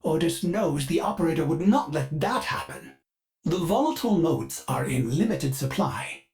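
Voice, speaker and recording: Video game ship AI, loyal and fussy, formal third-person phrasing, very animated pitch, voiced by a man. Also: normal speed, natural voice. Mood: earnest. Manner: speaks of himself in third person, formal, sing-song pitch swings. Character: ship's AI, fussy, eager, loyal male cephalon. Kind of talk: game voice lines.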